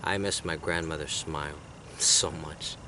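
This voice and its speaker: A man in his thirties, whose first language is Greek, talking in a personal vlog in a soft, reflective way that often trails off. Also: extremely low pitch, steady tone, unhurried, background noise. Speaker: vlogger.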